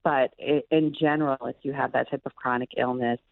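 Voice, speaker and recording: A woman's voice: very deep voice, fairly even intonation, fairly quick, creaky, dry recording. A woman physician giving explanatory answers as an interview guest over a phone-like line.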